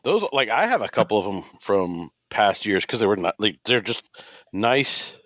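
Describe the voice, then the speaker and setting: A man with a fairly low voice, recorded dry with no room sound. He speaks as a podcast co-host in casual, loosely phrased conversation. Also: big pitch swings, normal speed, fairly bright tone, no room sound. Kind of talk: casual chat.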